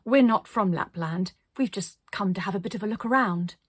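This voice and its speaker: A woman's voice: mid-range voice, brisk, breathy edge, dry recording, close to an everyday voice. A woman voicing a curious, straightforward young girl in a children's storybook, plain and questioning. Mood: friendly.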